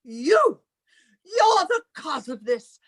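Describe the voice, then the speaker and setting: Woman acting a high-voiced, imperious older spinster. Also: sing-song, heavily acted. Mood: bitter, furious. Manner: theatrical, imperious, slow emphatic delivery. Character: bitter, controlling older spinster sister, wealthy and vengeful. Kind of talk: old time radio melodrama acting.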